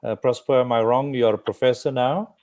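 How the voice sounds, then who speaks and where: A man hosting an informal interview, speaking in a halting, thinking-aloud manner with frequent fillers. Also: mid-range voice, fairly even intonation, a little mellow. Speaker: interviewer, host. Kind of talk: informal interview, welcoming guests.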